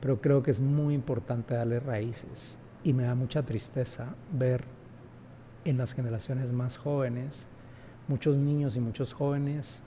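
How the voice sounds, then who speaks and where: Guy in his 40s, interview guest, emphatic, keeps tossing in tag questions, kinda raspy fry. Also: mid-range voice, mellow, a little hiss or hum. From Guatemala. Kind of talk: reflective conversational interview.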